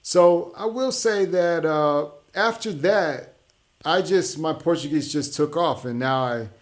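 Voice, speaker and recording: A man's voice: a little high, takes it slowly. Guy hosting his own show, chatty monologue, lots of restarts and ums, just talking it through. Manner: conversational, explanatory, many restarts and fillers. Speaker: show host. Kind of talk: casual monologue.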